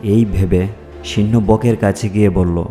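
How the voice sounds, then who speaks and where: A male narrator reads a scripted fairy tale. His voice is rather low and mellow, with light music underneath. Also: steady tone, unhurried.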